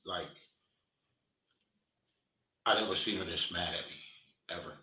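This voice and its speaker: A man's voice: low-pitched, takes it slowly, sharp tone, a little hiss or hum. A man with a stuffed-up, congested voice, talking in a rambling way full of fillers. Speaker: interviewee. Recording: echoey far-off archival clip.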